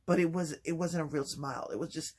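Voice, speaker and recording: A man talking softly and haltingly in a personal vlog, with many pauses and restarts. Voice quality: low voice. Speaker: vlogger. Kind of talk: personal vlog, reflective talk.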